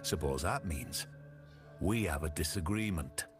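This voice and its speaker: An actor playing a surly, menacing guard in a fantasy game, with a taunting, sardonic way of talking. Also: male voice, very deep voice, lively intonation, unhurried, music in the background. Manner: taunting, sardonic, casual threats. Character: surly, menacing male camp guard itching for a fight.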